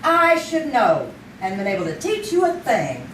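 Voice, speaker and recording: An actress playing a catty, teasing police-desk reporter with a knowing manner in a live old-time radio drama. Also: female voice, mid-range voice, huge pitch swings, normal speed, a bit bright. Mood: needling, wry. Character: wry, catty, sharp-tongued female police-desk reporter. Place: theater stage, performed live. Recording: distant, echoey live stage, faint noise.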